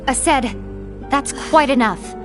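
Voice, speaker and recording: A woman playing a composed, dutiful young second-in-command in a game cutscene, polite, measured and earnest. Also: sits high, music in the background. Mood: firm, reasoning. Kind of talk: dramatic video game cutscene acting.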